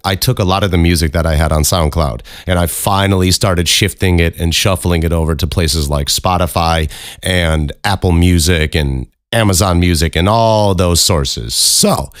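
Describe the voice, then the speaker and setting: Low-voiced man chatting on a podcast. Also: no room sound, clean studio sound. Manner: informal, rambling, swears casually. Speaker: podcast host. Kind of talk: casual solo podcast chat.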